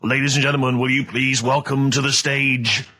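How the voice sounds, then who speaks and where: A man's voice: mid-range voice, level tone, moderate pace, pushed and bright, slight background noise. A man announcing acts to an audience as a formal stage introduction.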